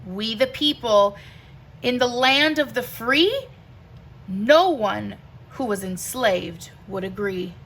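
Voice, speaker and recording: A woman reading a rhyming children's picture book aloud like a teacher, with expressive, deliberate and emphatic phrasing. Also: on the high side, a little hiss or hum.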